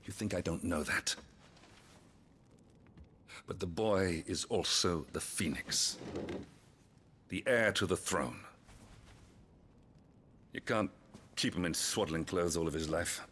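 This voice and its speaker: An actor playing a weary, commanding middle-aged duke with a British accent, speaking in a measured way in a fantasy game. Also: male voice, low-pitched, a bit bright, slight background noise. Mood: firm, impatient. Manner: measured, authoritative. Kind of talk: dramatic fantasy game acting.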